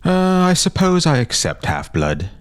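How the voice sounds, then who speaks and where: A man's voice: a little high, wildly swooping pitch, unhurried, smooth, no echo, studio quality, voice pushed up. A man voicing a bossy, worldly older boy who leads a gang in a fantasy story, speaking with knowing confidence.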